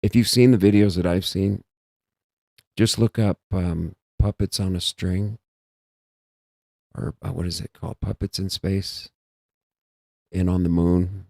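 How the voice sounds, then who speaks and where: Deep gravelly guy rambling on, dark mellow voice, dry sound. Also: fairly even intonation, a little slow, pauses often, dry recording. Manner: meandering, self-interrupting, musing aloud. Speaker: host. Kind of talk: rambling casual monologue.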